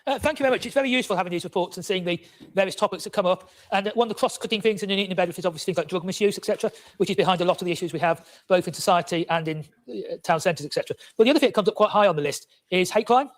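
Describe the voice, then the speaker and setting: An earnest man councillor speaking up in a council meeting, halting often on fillers. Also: sits high. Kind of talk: formal speech in a council meeting.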